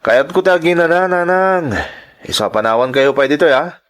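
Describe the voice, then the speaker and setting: A scheming young man in a radio-style drama , played by a male actor. His voice sits fairly high and swings widely in pitch. He is lovestruck and slippery, with an animated delivery. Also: normal speed. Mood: evasive. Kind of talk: audio drama acting.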